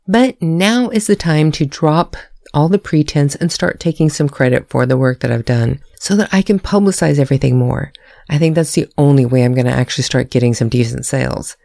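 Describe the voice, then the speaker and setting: Woman podcast host, candid and self-mocking, gravelly low voice, close and dry. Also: brisk, warm tone, dry recording. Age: adult, married. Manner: candid, self-deprecating, laughs at herself. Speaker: author hosting her own podcast. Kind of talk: reading a prepared personal talk.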